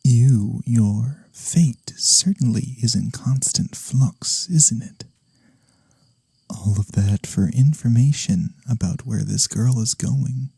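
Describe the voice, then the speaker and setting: A man playing a gentle, honorable incubus demon in a soft-spoken ASMR fantasy roleplay, measured, formal and softly teasing. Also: medium pitch, gravelly, breathy edge, no echo, heavily acted. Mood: intrigued, courteous.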